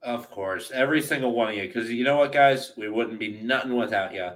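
A man's voice: medium pitch, brisk, a bit bright, distant mic. Playful co-host man, off-mic. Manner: playful, teasing. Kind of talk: casual banter.

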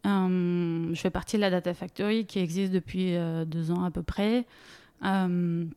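A woman's voice: fairly low pitch, steady tone, measured pace, warm tone, smooth. A woman, a guest in a casual interview, talking with many fillers and frequent laughter. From Bulgaria. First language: Bulgarian. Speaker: interview guest, data leader.

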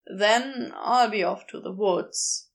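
A woman voicing an awkward, guarded man in an audiobook story, deadpan, curt and a little strained. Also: mid-range voice, slow, gravelly. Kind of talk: fan fiction audiobook character voice.

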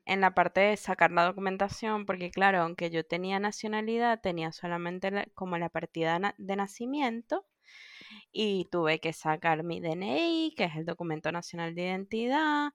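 A woman co-hosting a podcast, telling stories in animated casual chat with quoted asides. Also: mid-range voice, no echo, clean studio sound. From Venezuela. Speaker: podcast co-host.

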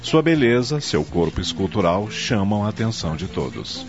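A man's voice: fairly low pitch, big pitch swings, normal speed, dull audio. A man with a Brazilian accent narrating a scripted radio drama with dramatic storytelling delivery. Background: faint music.

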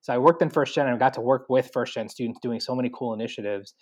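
A man's voice: medium pitch, fast talker. A man recounts his career moves in a loose, chatty way, leaning on likes and you-knows as he goes. His voice sits in an ordinary middle range. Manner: chatty, many likes and fillers, acts out his own thoughts. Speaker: guest, university staff. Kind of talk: conversational interview, telling his career path.

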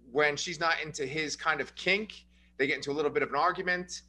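A man's voice: a little high, pushed and bright. A man hosting a casual movie review podcast, upbeat and chatty, recapping the plot with frequent fillers. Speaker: podcast host.

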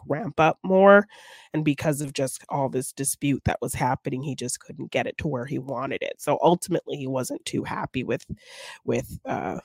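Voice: mid-range voice, no echo, clean studio sound. A podcast co-host talking casually and conversationally about films. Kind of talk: casual film discussion.

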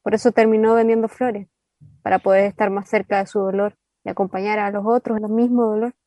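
A woman's voice: medium pitch, flat delivery, brisk, dark tone, no echo, lo-fi sound, lightly acted. An actress with a Chilean accent playing a tender young woman haunted by family grief, earnest and emotive in radio drama dialogue. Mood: grieving.

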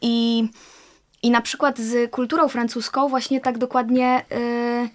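A young woman from Poland speaks as a guest in a relaxed conversation. Her voice sits somewhat high. She thinks aloud in long, winding sentences, pausing on fillers as she works out each idea. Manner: thoughtful, talks in long searching sentences with fillers. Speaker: interview guest. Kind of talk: casual conversation.

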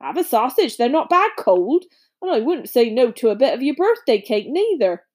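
A woman acts out a huge, gruff but kindly giant man from a storybook. He speaks in a rough, colloquial way, grunting, clipping his sentences and taking pride in himself. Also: a little high, big pitch swings, moderate pace, a little mellow. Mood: hearty, reassuring. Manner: colloquial, dropped words, grunting. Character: big gruff friendly giant man, proud and rustic.

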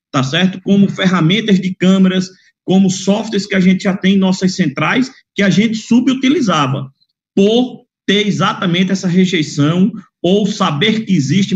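A man talking casually and frankly, with some slang and swearing, on a video call. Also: high-pitched. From Northeast Brazil. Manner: expansive, frank, blunt with slang and mild swearing. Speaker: guest. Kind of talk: casual chat. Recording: dull lo-fi video call audio.

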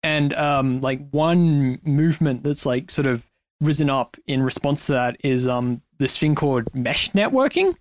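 A man speaking as a podcast guest, explaining ideas in quick bursts and leaning heavily on "like" and "um.". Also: medium pitch, animated, no echo. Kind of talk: casual conversational discussion.

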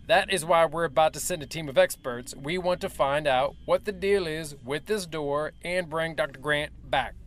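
A formal army major briefs the press with clipped assurance, as a man acts him out in an audio drama. His voice sits a bit high, and his delivery is firm and official. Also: normal speed, hardly any pauses, fairly bright tone, natural voice. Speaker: military officer.

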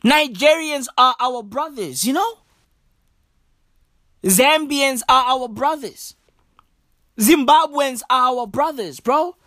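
Woman comic, high voice, slow deliberate delivery, profane slangy rant, dry close sound. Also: lively intonation, fairly bright tone, no echo. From South Africa. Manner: emphatic, profane, slang-heavy, repeats lines for punch. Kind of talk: stand-up comedy rant.

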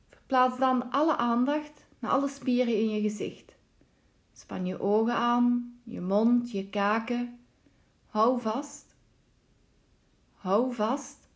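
A woman guides a deep relaxation session at a very unhurried pace. Her voice sits a little high for a woman. She gives calm, soothing instructions in the measured manner of a meditation teacher. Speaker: yoga nidra instructor.

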